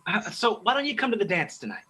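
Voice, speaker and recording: An actor playing a breezy, eager teenage younger brother in an old-time radio play. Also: male voice, high voice, wildly swooping pitch, fast, a loud crowd. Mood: teasing. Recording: faint background noise.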